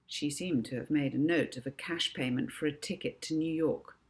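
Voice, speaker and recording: A woman's voice: deep voice, normal speed. A woman narrating a children's storybook expressively, as an author reading her own book.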